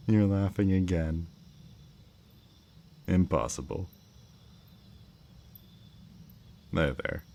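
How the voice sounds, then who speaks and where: A man's voice: a bass voice, lively intonation, vocal fry, heavily acted. A man playing a smug, politely menacing kidnapper in an intimate ASMR roleplay, speaking to the listener in a teasing, mock-courteous way. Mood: amused, coaxing. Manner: slow, teasing, mock-courteous, lingering over words. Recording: faint background noise, chirping night crickets.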